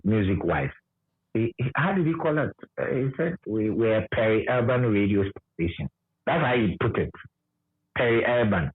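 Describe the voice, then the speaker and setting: A man's voice: on the low side, husky. A Ghanaian man, a radio presenter, reminiscing in conversation and repeating his points for emphasis. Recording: muffled, narrow phone-like line.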